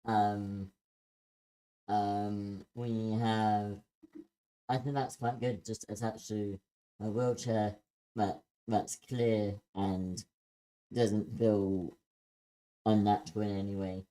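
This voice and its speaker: A man giving informal feedback in a group critique, speaking in a hesitant, halting way. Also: on the low side, flat delivery, dark tone, low-quality recording. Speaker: participant giving script feedback.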